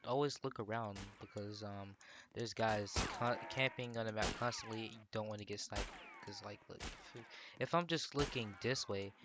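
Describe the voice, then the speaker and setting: A man's voice: lowish voice, very noisy. A young man with a sore throat and stuffy nose, rambling through casual gameplay tips with lots of fillers and slang. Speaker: gaming YouTuber. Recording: loud game gunfire behind the voice. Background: gunshots and clicks from the game.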